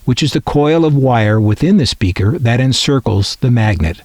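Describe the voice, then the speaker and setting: A man's voice: medium pitch, lively intonation, normal speed, darkish tone, dry recording. An American man from the Midwest narrating a scripted piece as a collector and presenter, with a wry, opinionated way of talking. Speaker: vintage radio collector and presenter.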